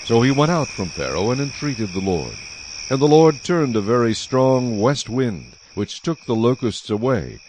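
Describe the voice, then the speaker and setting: A man narrating a dramatized audio Bible in a measured, solemn storytelling style. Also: fairly low pitch, darkish tone, a little hiss or hum. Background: music.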